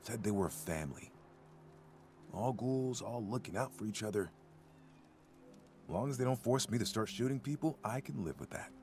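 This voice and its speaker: A man playing a gentle, timid, nature-loving ghoul gardener in a video game, speaking mildly, hesitantly and earnestly. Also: on the low side, lively intonation, normal speed, long pauses, slight background noise. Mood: reflective. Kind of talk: video game character dialogue.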